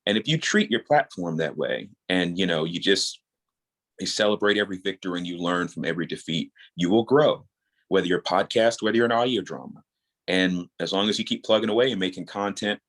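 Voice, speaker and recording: A man's voice: low voice, expressive, a little fast. A man in his thirties talking casually and earnestly as a guest in a podcast interview. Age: 30s. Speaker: guest, audio drama creator.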